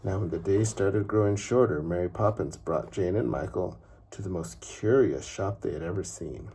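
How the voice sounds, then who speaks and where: A man reading a children's story aloud in an expressive, unhurried way with dramatic pauses and a husky, gravelly voice. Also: low-pitched. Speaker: father reading a bedtime story.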